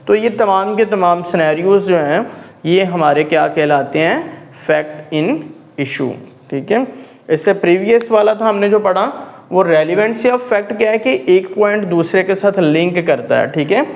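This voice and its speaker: Man, Pakistani accent, lecturing on law, high voice, slow measured delivery, checks in often with the class. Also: rough recording. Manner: explains step by step, often checks in with "okay"; reads legal English phrases slowly and deliberately.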